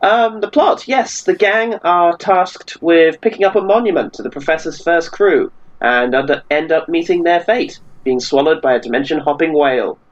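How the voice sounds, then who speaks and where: Male podcast panellist, on the higher side, flippant and conversational. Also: moderate pace, hardly any pauses, dull audio. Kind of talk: casual chat.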